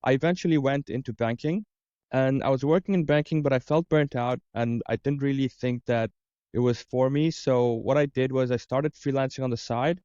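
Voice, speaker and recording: A man from Dubai speaks as an interview guest in a soft, mellow tone with a dry, close sound. The recording is slightly dull. He explains his coaching work conversationally, often listing examples. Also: medium pitch, normal speed, clean tone, dry recording. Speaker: interview guest, career coach and business owner.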